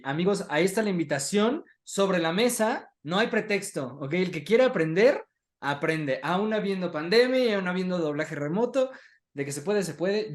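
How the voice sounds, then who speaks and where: Man, Mexican accent, fairly high voice, casual interview host, conversational, speaks directly to viewers. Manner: addresses audience directly, calls them friends. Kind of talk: casual online interview hosting.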